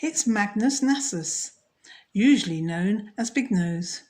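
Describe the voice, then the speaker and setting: A woman's voice: medium pitch, expressive, takes it slowly, darkish tone. A woman voicing a knowledgeable, reassuring male monster expert in a children's storybook, explaining things with assurance. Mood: assured.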